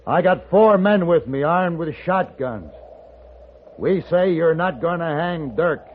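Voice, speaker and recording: A man playing a calm, commanding gunfighter hero in an old-time radio Western. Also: a little high, slow, a little hiss or hum. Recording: narrow-band vintage radio recording with music.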